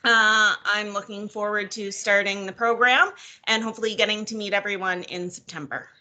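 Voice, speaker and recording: A woman's voice: medium pitch, steady tone, normal speed, sharp tone. A woman in her thirties, a mother of two, introduces herself cheerfully as a student on a video call.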